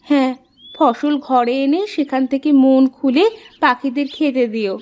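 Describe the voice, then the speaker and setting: A woman's voice: high-pitched, steady tone, unhurried, darkish tone, muffled recording. A woman playing a caring, chatty farmer's wife in a Bengali cartoon story, with colloquial rural housewife talk. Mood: anxious, practical.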